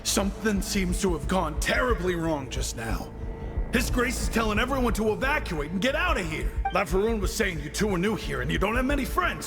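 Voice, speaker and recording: A high, lively young townsman, anxious and talkative in video game style. His voice rings with long reverb over clear music.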